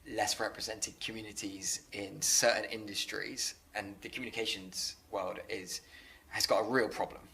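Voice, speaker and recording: A man in a conversational interview, speaking earnestly and haltingly, often repeating words as he works through a thought. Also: on the low side, steady tone, gravelly, pushed and bright, low-quality recording. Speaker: interviewer and business leader.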